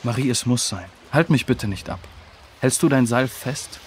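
A man's voice: mid-range voice, moderate pace, slight background noise, close to an everyday voice. A man playing a brave, responsible young rescuer who gives firm instructions in a children's audio drama. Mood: earnest, resolute. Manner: decisive, instructing.

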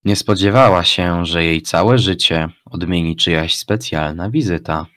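A man's voice: deep voice, unhurried, fairly bright tone, dry recording, studio quality. A man narrating a scripted story as the narrator of an audio play. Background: music.

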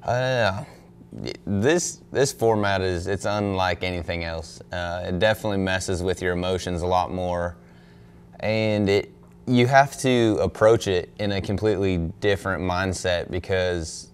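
A young man, a pro angler, answering questions at a press conference in a relaxed, thoughtful way, full of "you know" and "um". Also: deep voice, unhurried, slight background noise. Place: indoor press room in front of a backdrop. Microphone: desk microphone on a stand.

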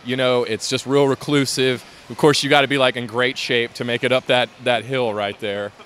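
A man's voice: mid-range voice, little pitch movement, normal speed, a bit bright, a little hiss or hum. A man, talking casually about his LEGO build at a convention, with lots of fillers and restarts. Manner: rambling, many fillers and restarts. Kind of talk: casual interview. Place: convention hall, indoors.

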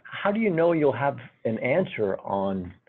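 A man's voice: mid-range voice, sing-song, a little slow, husky. A man asking a question from the audience at a presentation. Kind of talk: question at a talk. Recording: muffled, narrow phone-like sound.

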